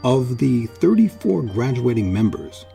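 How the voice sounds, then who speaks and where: A man narrating a scripted documentary in a measured way, with dramatic pauses and emphasis. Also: mid-range voice, sing-song, vocal fry, mellow. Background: music.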